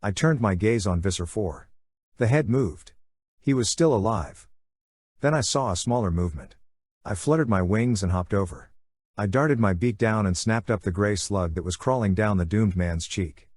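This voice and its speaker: Male audiobook narrator, lowish and husky, delivering scripted first-person action drama in a dry, close sound. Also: moderate pace, darkish tone, no echo.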